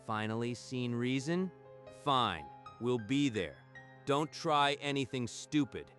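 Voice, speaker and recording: A man's voice: medium pitch, animated, slow pace, a bit bright. A man playing a greedy, sneering villain in a manga dub, contemptuous and menacing. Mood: smug, threatening. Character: Hiroto, sneering greedy adult male cousin, villain. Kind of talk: dramatic manga dub dialogue. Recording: music behind the voice.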